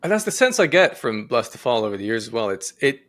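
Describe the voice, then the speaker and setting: A man's voice: medium pitch, big pitch swings, fast, a bit bright. A man hosting a podcast, asking long, thoughtful, winding questions. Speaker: podcast host and interviewer. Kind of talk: interview questions.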